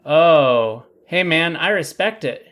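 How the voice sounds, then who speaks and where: An actor playing a dim, easygoing, reckless younger-brother stunt coordinator with a slangy slacker drawl, in a comedy audio drama. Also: male voice, a little high, lively intonation, slow pace, a bit bright. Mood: breezy, oblivious.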